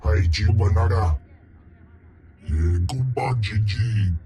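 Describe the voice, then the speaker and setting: A man voicing a huge, pompous alien slug crime lord in a video game, booming in an invented alien tongue. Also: slow, dark tone. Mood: imperious. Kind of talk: video game creature acting.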